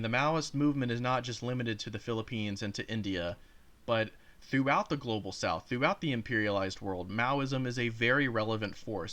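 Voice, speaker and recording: A man's voice: medium pitch, fairly bright tone. Male host, reaction commentary, mid-range voice, sarcastic and heated. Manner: sarcastic, heated, swears freely. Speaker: channel host reacting to a video. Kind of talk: commentary over a played clip.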